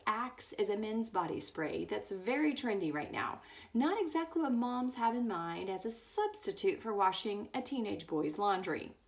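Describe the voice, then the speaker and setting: A woman reading a book aloud as a storyteller, now and then stumbling over words. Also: medium pitch. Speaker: story reader.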